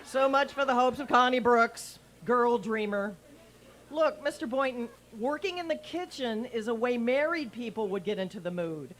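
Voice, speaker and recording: A woman's voice: on the high side, moderate pace, close to an everyday voice. A woman playing a wry, lovestruck, scheming schoolteacher in a vintage radio sitcom, arch and gushing with endearments. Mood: wry, coaxing. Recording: noisy live raw event recording.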